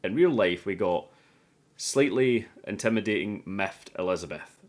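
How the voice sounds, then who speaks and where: A man hosting a scripted but casual film review, chatty, irreverent and quick to swear. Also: on the low side, animated. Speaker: video host reviewing a film.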